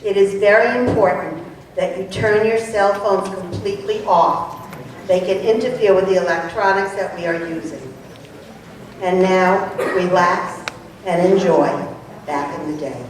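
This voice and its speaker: A woman's voice: on the low side, a little slow, background noise. Woman announcer introducing a stage show, heard from afar in a heavily reverberant room. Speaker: announcer introducing an amateur play. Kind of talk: prepared welcome announcement to an audience. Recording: echoey hall, far from microphone.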